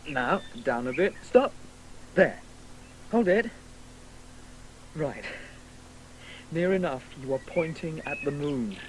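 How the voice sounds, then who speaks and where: Pedantic, haughty boy, animated and high. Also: takes it slowly, pauses often, slight background noise, low-quality recording. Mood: bossy, eager. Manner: pedantic, condescending explaining. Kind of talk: 1980s radio drama acting.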